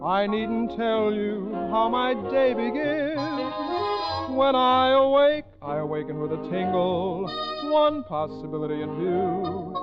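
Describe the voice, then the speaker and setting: A woman's voice: mid-range voice, animated, slow pace. A woman singing a 1940s popular song as a radio band vocalist. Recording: dull vintage radio recording, loud music. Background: loud band accompaniment.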